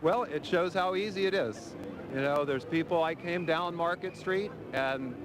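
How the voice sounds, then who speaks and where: A man's voice: fairly high pitch, normal speed. A man giving casual, unscripted comments as a cyclist in a street interview. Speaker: bike ride participant interviewed on the street. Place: outdoors on a city street. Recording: clear outdoor traffic noise.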